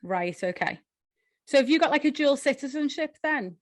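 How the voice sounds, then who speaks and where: A woman's voice: sits high, expressive, normal speed, dry recording, clean studio sound. A woman interviewing a boxer in a chatty way, often ending her points with tag questions. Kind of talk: casual interview.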